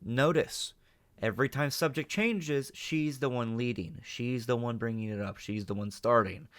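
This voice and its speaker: A man's voice: medium pitch, moderate pace, fairly bright tone. A man hosting a reaction video, giving off-the-cuff analytical commentary with emphatic check-ins.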